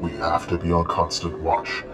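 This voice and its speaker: Man acting a low-voiced bossy animatronic bear leader. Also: monotone, normal speed, natural voice. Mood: cautious. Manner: authoritative, exasperated with his bandmates. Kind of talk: amateur roleplay voice acting. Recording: loud music under the voice.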